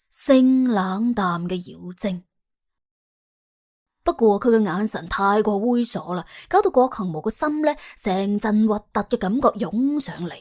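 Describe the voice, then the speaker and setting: A woman with a Cantonese accent tells a story in a highish, very animated voice. The delivery is wry and dramatic, with soft music running underneath. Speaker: audiobook narrator. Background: soft background music.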